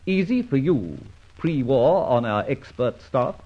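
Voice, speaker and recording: Suave vengeful gentleman adventurer, husky, wildly varied intonation, theatrical sarcasm, old radio drama. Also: mid-range voice, very animated, unhurried. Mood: accusing, scornful. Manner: mocking, theatrical, drawling irony. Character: suave vengeful gentleman adventurer, wronged British ex-army officer. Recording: muffled old radio recording.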